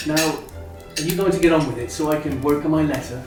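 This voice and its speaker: Male actor as hopeful, teasing Victorian brother, formal and wordy, lightly high voice, recorded far off. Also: fast, close to an everyday voice. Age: 30s. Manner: formal, wordy, earnest phrasing. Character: kindly, teasing, hopeful brother in his thirties, Victorian gentleman. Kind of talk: stage-style literary radio drama acting. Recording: very echoey, distant, music behind.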